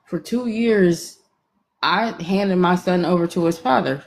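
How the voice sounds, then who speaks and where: Frank woman on a phone call. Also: on the low side. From Atlanta, Georgia, US. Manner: frank, self-deprecating, talks in quick bursts. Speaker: caller on a live stream. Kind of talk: candid casual storytelling.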